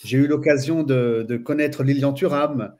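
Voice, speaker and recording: A man in his forties from France sits as a guest and tells his story in a relaxed, searching way. He talks at an ordinary middle pitch and keeps pausing to find his words. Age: 40s. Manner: hesitant, frequent pauses and fillers. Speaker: guest, Islamic studies teacher. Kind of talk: casual interview chat.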